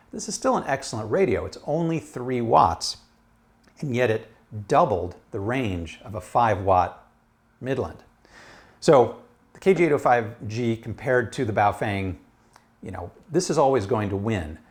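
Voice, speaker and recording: Low-voiced man reviewing gear casually. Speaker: product reviewer.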